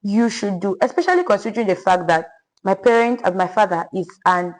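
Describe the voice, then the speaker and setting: Young woman, podcast guest, polite, loud voice, mid-pitched. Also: lively intonation, fairly quick, low-quality recording. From Nigeria. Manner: polite, says ma'am, loud voice. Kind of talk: podcast interview.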